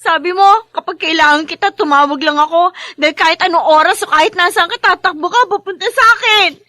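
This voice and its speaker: A woman's voice: very high voice, fairly quick, bright, forceful tone, no room sound, studio quality. A Filipino woman playing a loving, long-suffering soldier's wife and new mother in an emotional drama, plaintive yet tender. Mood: hurt, reproachful. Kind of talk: emotional audio-visual drama acting.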